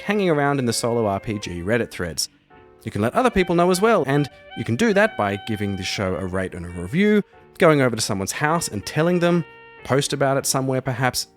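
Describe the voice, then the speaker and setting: A man hosting a solo roleplaying podcast, delivering scripted commentary and narration. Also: mid-range voice. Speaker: podcast host and solo game master.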